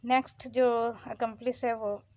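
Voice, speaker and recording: A woman giving an explanatory law lecture, switching between slow, deliberate English reading and explanation in her own language. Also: fairly high pitch, flat delivery, darkish tone, slight background noise.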